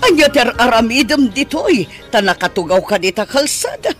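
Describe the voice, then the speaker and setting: A woman's voice: fairly high pitch, expressive, moderate pace, faint music behind, lightly acted. An actress playing a practical village woman who asks lots of questions in a radio drama. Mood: concerned. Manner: curious, questioning.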